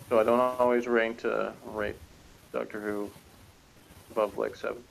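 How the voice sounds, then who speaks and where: A man's voice: lowish voice, flat delivery, slow pace, gravelly, a little hiss or hum, rough recording. A man reviewing a TV episode in casual, rambling, jokey chat, often chuckling. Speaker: reviewer on a TV show review channel.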